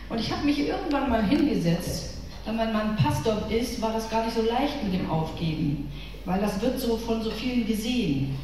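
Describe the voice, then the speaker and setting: A woman's voice: a little high, darkish tone. A middle-aged woman with a northern German accent giving a chatty, informal faith talk to a women's church gathering, speaking to her listeners directly and personally. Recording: echoey hall from far off with room noise.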